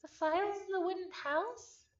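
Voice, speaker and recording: A woman livestreaming a visual novel, chatting casually and reading game text aloud in an excitable, halting way, often repeating phrases. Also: very high-pitched. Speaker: streamer playing a visual novel. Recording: dull lo-fi stream audio.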